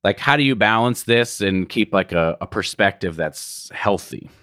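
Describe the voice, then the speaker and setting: A man's voice: lowish voice, expressive, normal speed, fairly bright tone, dry recording, clean studio sound. Reflective man in his thirties interviewing. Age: 30s. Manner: reflective, tags sentences with "right?". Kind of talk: conversational podcast interview.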